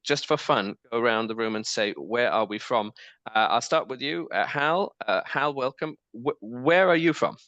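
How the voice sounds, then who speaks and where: A man's voice: medium pitch, pushed and bright, dry recording. A man moderating an online panel discussion, upbeat and chatty, with plenty of ums and restarts. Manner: upbeat, filler-heavy, restarts names.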